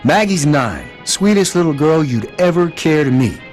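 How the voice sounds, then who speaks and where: A man's voice: a little high, big pitch swings, normal speed, darkish tone, soft background music. An actor playing a folksy wasteland trader and protective father figure in a video game, with an American accent and a drawling manner. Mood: hearty, welcoming.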